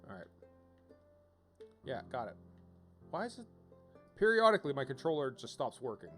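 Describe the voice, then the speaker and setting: A man's voice: mid-range voice, sing-song, normal speed, a bit bright, soft background music. A man casually commentating on a video game as he streams, rambling and thinking aloud as he reads out options.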